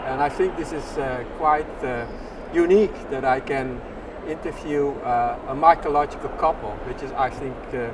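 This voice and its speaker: A man's voice: medium pitch, moderate pace, few pauses. An older retired man interviewing a couple, hesitant with many fillers and trailing phrases. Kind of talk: casual interview. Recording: distant mic, loud outdoor noise.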